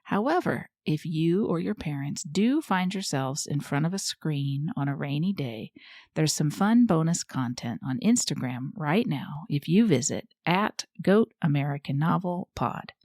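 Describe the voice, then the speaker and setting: A woman's voice: low-pitched, animated, measured pace, a little mellow, no echo, clean studio sound, exaggerated voice. A woman playing a fussy, easily indignant goat reporter who hosts a scripted podcast in a prim, chatty, theatrical way. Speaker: podcast host.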